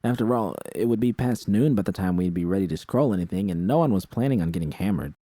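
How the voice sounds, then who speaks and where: A man's voice: deep voice, animated, quick pace, mellow, dry recording. A man reading a first-person story aloud as scripted narration. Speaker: narrator reading fan fiction.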